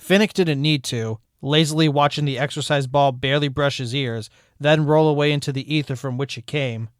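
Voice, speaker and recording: A man's voice: a little high, steady tone, fairly quick, smooth, dry recording. A man narrating a fanfiction story aloud with a dry, wry delivery.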